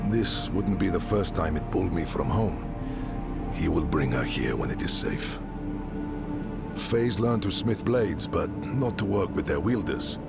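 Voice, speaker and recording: An actor playing a weathered, warm-hearted mercenary smith and father in a fantasy game, speaking in a measured, familiar way with a gravelly voice. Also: low voice. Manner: measured, familiar, addresses listener as friend. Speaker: mercenary leader character. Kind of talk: fantasy game dialogue acting.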